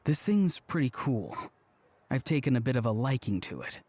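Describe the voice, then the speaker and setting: A man's voice: medium pitch, very animated, normal speed, darkish tone, a little hiss or hum, natural voice. An actor playing a laid-back young man in a video game, casual, understated and a little awkward. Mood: cool, teasing. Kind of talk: voice-acted game dialogue.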